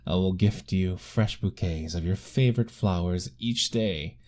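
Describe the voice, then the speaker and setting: A man voicing an ancient, lovestruck male vampire lord in an ASMR roleplay, formal and soft-spoken, pleading gently. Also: deep voice, measured pace.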